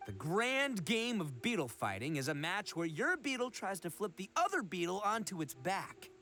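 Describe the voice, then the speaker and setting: A goofy, boastful young man in an anime game. His voice sits high and leaps around with theatrical swagger. The talk is slangy and full of bragging. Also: sing-song, normal speed, pushed and bright, faint music behind, exaggerated voice. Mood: boastful, enthusiastic. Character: brash, boastful young man, goofy self-proclaimed beetle expert.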